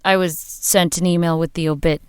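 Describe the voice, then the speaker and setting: An actress playing a guarded grieving daughter, curt and sardonic. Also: female voice, lowish voice, fairly even intonation, normal speed, a little mellow, clear, resonant tone, dry recording, lightly acted. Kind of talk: audio drama acting.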